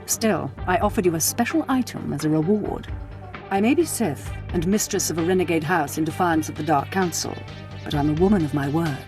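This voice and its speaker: A woman's voice: low-pitched, a little fast, music in the background, natural voice. A woman voicing a scheming, power-hungry Sith noblewoman in a video game, formal, silky and imperious. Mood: satisfied, imperious.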